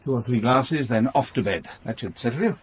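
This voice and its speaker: An actor playing a smooth-talking, evasive married lover pleading with his mistress in a radio drama, stammering when pressed. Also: mid-range voice, animated, fairly quick. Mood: smooth, attentive.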